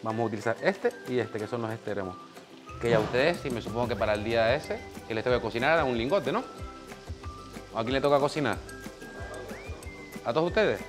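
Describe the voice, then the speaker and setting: A Canarian-man in his forties, mid-pitched, leads a hands-on cooking class in a small bright teaching kitchen. He explains each step in a practical way and gives short instructions to the assistant beside him. Faint music plays under his voice. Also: expressive, fairly quick, long pauses. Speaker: chef giving a cooking demonstration.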